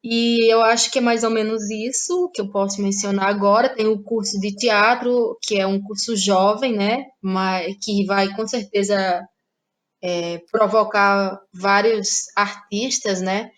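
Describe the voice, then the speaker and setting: A woman's voice: mid-range voice, steady tone, measured pace. A young woman from Ceará with a Northeastern Brazilian accent, a guest playwright in a podcast interview, thinking aloud with restarts and frequent tag questions.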